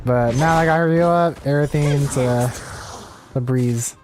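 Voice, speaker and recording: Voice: medium pitch, unhurried. A streamer chatting casually with viewers and commenting on a game in an easygoing, apologetic way. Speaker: livestreamer. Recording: game music behind the voice. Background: video game music.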